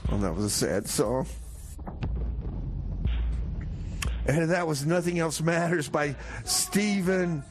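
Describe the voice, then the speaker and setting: A man playing a mopey, exasperated music-show host in improvised radio comedy, with a halting, plaintive delivery. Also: on the high side, animated. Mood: subdued.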